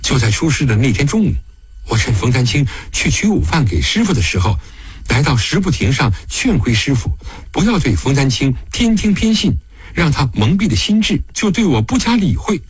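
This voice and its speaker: Guy reading as a sad meek young woman, soft husky pleading voice, halting, close dry audiobook sound. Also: mid-range voice, very animated, no echo. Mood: distraught, faltering. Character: sorrowful, devoted young woman prisoner confessing meekly.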